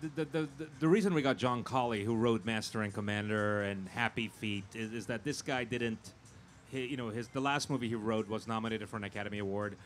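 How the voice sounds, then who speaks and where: A man speaking as a guest in an informal panel interview, halting and often repeating his words as he thinks aloud. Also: lowish voice. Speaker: film producer and panel guest. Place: fan convention event. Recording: noisy live event with background ambience.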